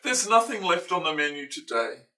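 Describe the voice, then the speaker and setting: A woman's voice: fairly low pitch, very animated, moderate pace, bright, forceful tone, recorded from a distance, voice pushed down. A woman voicing a jovial, friendly, big-bellied male butcher in a storybook, with a hearty, teasing delivery. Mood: mock sympathy.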